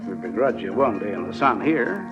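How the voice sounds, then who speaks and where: Easy-mark businessman, flirtatious and curious, animated intonation, vintage radio drama, music clearly behind. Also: on the low side, a little fast. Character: gullible, flirtatious American businessman, eager gambler. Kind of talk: old-time radio drama acting. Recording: muffled old radio recording.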